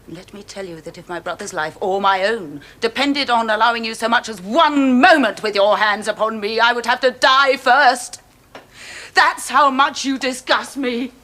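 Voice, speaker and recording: A woman's voice: fairly high pitch, expressive, moderate pace, fairly bright tone. An actress playing a proud young woman who answers a suitor with cold contempt in a radio drama. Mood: contemptuous. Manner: cold contempt, clipped and cutting.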